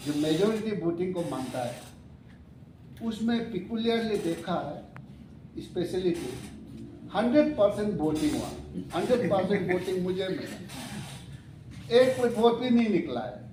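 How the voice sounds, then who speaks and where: A man in his seventies, chairing a meeting and addressing the committee in a halting way, pausing and correcting himself. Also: high-pitched, very animated. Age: 70s. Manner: halting and unhurried, with pauses and self-corrections. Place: hotel meeting hall. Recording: echoey hall, mic far off, faint room noise.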